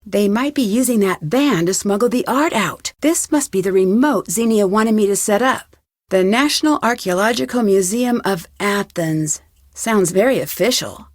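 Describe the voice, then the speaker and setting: An actress plays a young woman detective from an adventure game, recorded very dry and close. She talks to herself in clear, practical, curious remarks, with a medium pitch and steady determination. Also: moderate pace, dry recording, natural voice. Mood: curious, musing. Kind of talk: scripted adventure game dialogue, thinking aloud.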